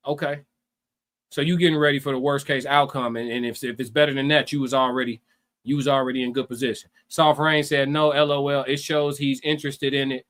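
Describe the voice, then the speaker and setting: A man with a fairly high-pitched voice chats casually as a live-stream co-host. He is animated and opinionated, leaning on slang and repeating his phrases to drive them home.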